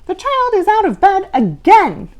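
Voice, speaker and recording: A woman puts on a small, eager girl monster for a children's story. The voice jumps up very high, chirping and whining with lively swoops. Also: a little slow, full character voice. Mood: chirpy, tattling. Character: small whiny, eager red female monster. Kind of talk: children's storybook read-aloud.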